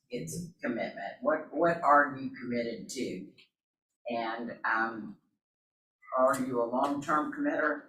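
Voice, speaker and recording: A man speaking up in a team meeting, measured and reflective. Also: medium pitch, bright, forceful tone. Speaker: team member sharing thoughts in a meeting. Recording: echoey room, from a distance, faint noise.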